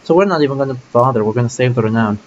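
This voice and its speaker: A man's voice: mid-range voice, rapid-fire, muffled recording, slight background noise. A man streaming a game, musing aloud casually about his choices as he plays. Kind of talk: let's play commentary.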